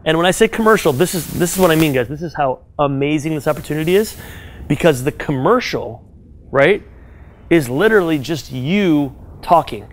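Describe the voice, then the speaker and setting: Male speaker, live audience talk, energetic and direct, slightly higher register. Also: normal speed, slight background noise. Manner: animated, direct, second-person advice with frequent "right?". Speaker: business speaker advising an audience.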